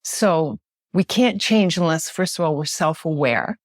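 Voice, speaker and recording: A woman with a naturally loud voice, explaining communication ideas as a guest in an emphatic, animated way, often checking in with "right?". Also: deep voice, unhurried, dry recording, clean studio sound. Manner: emphatic, animated, frequent tag question "right?". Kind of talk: podcast interview, explaining with examples.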